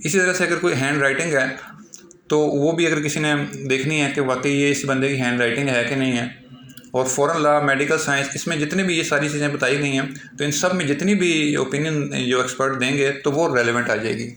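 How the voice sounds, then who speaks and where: A man with a Pakistani accent giving an explanatory law lecture, going step by step with repetition and reading formal passages aloud slowly and haltingly. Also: fairly high pitch, steady tone, fairly bright tone, slight background noise. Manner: slow, halting when reading English aloud. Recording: echoey room.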